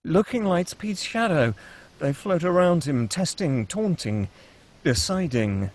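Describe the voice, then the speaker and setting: Male narrator for screenplay table read. Slightly high pitch, husky tone, scripted action delivery. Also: lively intonation, slow, darkish tone.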